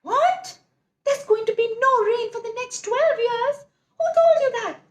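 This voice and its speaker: Woman doing a very high, cheeky little crab. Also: roomy sound. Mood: shocked. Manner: sing-song, exclaiming, drawn-out calls. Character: cheeky, teasing, excitable little male crab.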